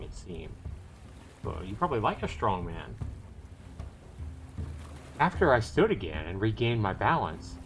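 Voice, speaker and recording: Livestream host, male, on the low side, very expressive reading of a visual novel, slight noise behind. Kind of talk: reading a visual novel aloud with casual commentary.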